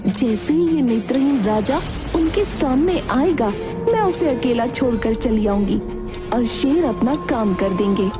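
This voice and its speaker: A woman's voice: fairly high pitch, animated, a little slow, over loud music, pitched above the performer's own voice. A woman voicing a seductive, treacherous princess in a story, honeyed and theatrical in manner. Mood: cold plotting.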